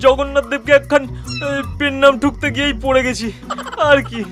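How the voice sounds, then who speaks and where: High-pitched, blustering cartoon swindler. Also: male voice, little pitch movement, brisk. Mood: flustered, bossy. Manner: theatrical, blustering. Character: scheming, bossy, comically pompous small-time swindler. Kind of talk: cartoon voice acting. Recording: loud music bed under voice.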